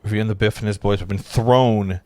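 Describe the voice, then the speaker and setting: A man's voice: fairly low pitch, fairly even intonation, a little fast, darkish tone, no room sound. A man narrating screenplay directions at a casual table read, informal, stumbling and breaking off to comment. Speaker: narrator of stage directions, host.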